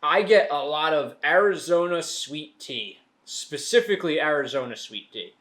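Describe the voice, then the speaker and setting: A man's voice: on the high side, lively intonation, a bit bright. A man hosting a whiskey review show, chatting casually and trailing off into restarts. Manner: rambling, trails off and restarts mid-sentence; reads facts aloud haltingly. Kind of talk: casual tasting chat.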